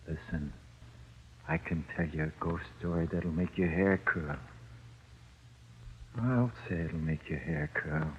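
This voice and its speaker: A man's voice: low-pitched, slight background noise. A man playing a cocky, streetwise small-time crook in a 1940s radio crime drama, with slangy, ungrammatical tough-guy talk. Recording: muffled 1940s radio recording.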